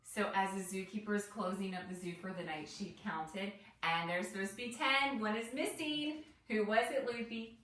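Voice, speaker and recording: A woman's voice: medium pitch, normal speed, few pauses, a bit bright. A woman teacher reading a picture book to children, explaining as she goes and putting questions to the listener. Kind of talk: picture-book read-aloud with commentary for children. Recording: roomy echo, distant mic.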